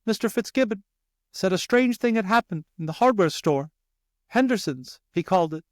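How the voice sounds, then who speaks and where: A man voicing a gentle, timid mother field mouse in a small, light, polite storybook voice. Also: sits high, animated, normal speed, no echo, studio quality, pitched above the performer's own voice. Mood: careful, earnest. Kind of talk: storybook character reading.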